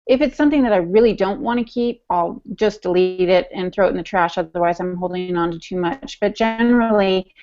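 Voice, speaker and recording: A woman's voice: mid-range voice, fairly quick, dark tone. A woman with a mid-range voice co-hosts a casual writing podcast. She talks in an easygoing, practical way. Her audio sounds dull and somewhat lo-fi. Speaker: podcast co-host.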